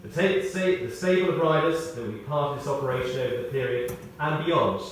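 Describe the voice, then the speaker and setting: A man reading a prepared tribute aloud in short, measured phrases, with wry storytelling. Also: on the high side. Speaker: tribute speaker. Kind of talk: read-out memorial tribute with anecdotes. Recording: echoey hall, distant, faint noise.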